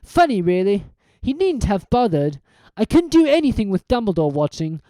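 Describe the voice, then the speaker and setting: A woman's voice: medium pitch, sing-song, moderate pace, warm tone, no room sound, voice pushed up, close to an everyday voice. A woman voicing a cold, sneering male teacher villain in a storybook reading, cool and mocking. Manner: cool, mocking, mock stutter.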